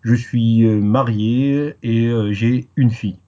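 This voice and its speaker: Hesitant French man with a dark voice. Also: mid-range voice, steady tone, dull audio. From France. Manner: hesitant, many pauses and fillers. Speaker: interview guest. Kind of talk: casual interview.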